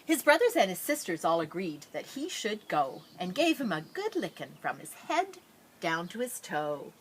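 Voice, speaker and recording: A woman reading a children's picture book aloud expressively, with drawn-out dramatic phrasing and playful asides. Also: mid-range voice, big pitch swings, slight background noise. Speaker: storybook reader.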